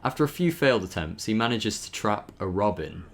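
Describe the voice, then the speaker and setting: A man's voice: fairly low pitch, lively intonation, brisk, vocal fry. A man giving an informal dramatic reading of a story, stumbling now and then and adding chatty asides. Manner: stumbles over words and breaks off to comment. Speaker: host reading a backstory aloud.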